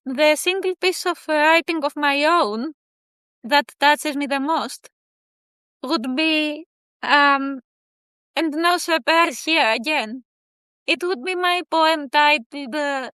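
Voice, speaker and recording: A high-pitched woman whose native tongue is Greek answers questions about her poetry, pausing often and filling gaps with soft hesitations. Her voice is bright and clear, recorded close and dry with no room sound. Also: dry recording. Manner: thoughtful, hesitant with many fillers. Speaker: poet guest. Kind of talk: casual interview answers.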